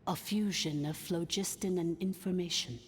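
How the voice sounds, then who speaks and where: A woman's voice: on the low side, a little hiss or hum, lightly acted. Woman as a reverberant, solemn machine ruler. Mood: grave. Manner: formal, measured, grave exposition. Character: solemn ancient female artificial intelligence ruler. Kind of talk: video game voice acting. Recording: long cavernous reverb, music underneath.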